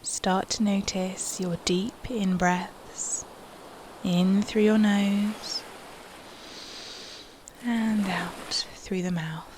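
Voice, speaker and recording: A woman softly leading a guided meditation in a soothing, unhurried manner, with breathy phrase endings and long pauses. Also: mid-range voice, steady tone, a little hiss or hum.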